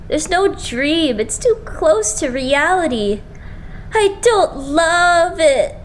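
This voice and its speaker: A woman's voice: extremely high pitch, lively intonation, slow, slight background noise, full character voice, poor audio. A woman playing a quirky, dramatic young idol who exclaims theatrically in an anime game fan dub. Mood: glum, sheepish. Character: dramatic, quirky young idol, self-declared ordinary citizen.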